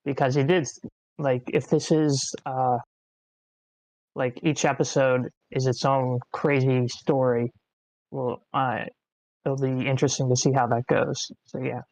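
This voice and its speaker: A woman's voice: a bass voice, level tone, measured pace, darkish tone, dry recording, poor audio. A woman giving casual, tentative, rambling feedback in a group discussion. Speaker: writing group member giving script feedback. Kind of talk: casual chat.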